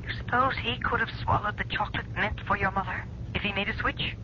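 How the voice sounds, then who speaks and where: A woman's voice: mid-range voice, fairly even intonation, quick pace, sharp tone, close to an everyday voice. An actress playing a calculating female accomplice in a 1970s radio drama, probing and insistent. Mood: probing, urgent. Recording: muffled, noisy old radio broadcast.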